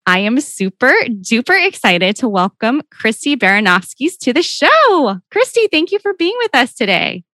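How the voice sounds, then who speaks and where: A woman's voice: on the high side, big pitch swings, moderate pace, few pauses, pushed and bright, no room sound, clean studio sound. A woman hosting a parenting podcast, bubbly and enthusiastic, with a soothing voice. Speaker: podcast host.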